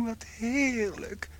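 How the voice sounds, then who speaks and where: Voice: sits high, a little mellow, a little hiss or hum. An actor in a radio play voicing a tiny shrunken husband, plaintive and melodramatic, with a small strained voice. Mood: tender, lovesick. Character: tiny ten-centimetre shrunken husband, anguished, ashamed and clingingly devoted.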